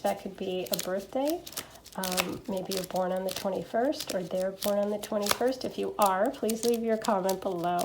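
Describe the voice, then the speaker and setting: A woman with a stuffy nose from allergies, chatting gently through a tarot reading with lots of fillers and little checks. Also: mid-range voice, background noise. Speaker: tarot reader. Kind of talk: casual pick-a-card tarot reading.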